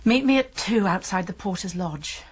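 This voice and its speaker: An actress playing a calm, kindly but firm British college confidante in a radio drama. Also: female voice, medium pitch, moderate pace, slightly rough. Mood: firm, concerned.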